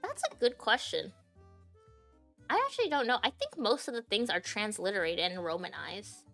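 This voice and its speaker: A woman's voice: medium pitch, big pitch swings, moderate pace, creaky, a bit bright, faint music behind. A woman from Japan whose first language is Japanese, chatting casually on a livestream and rambling with many fillers and restarts. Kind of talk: casual stream chat.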